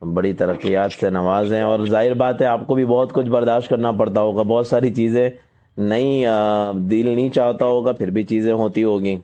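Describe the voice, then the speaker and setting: Man, deferential caller, mellow low voice, gentle advisory tone with frequent hesitations. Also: steady tone, moderate pace. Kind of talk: phone conversation. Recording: muffled lo-fi phone call recording.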